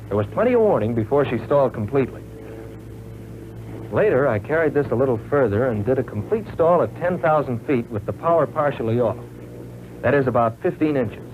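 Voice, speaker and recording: An actor playing an earnest young American military pilot, recounting his flight training in measured, folksy 1940s training film narration. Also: mid-range voice, lightly acted. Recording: tinny, noisy old film soundtrack.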